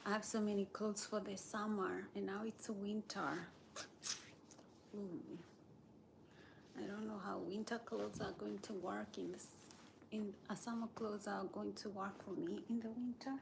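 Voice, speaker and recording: A woman from Kenya chatting casually on a live stream, rambling in an unhurried way and talking straight to her viewers. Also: mid-range voice, steady tone, creaky, background noise. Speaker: livestreamer.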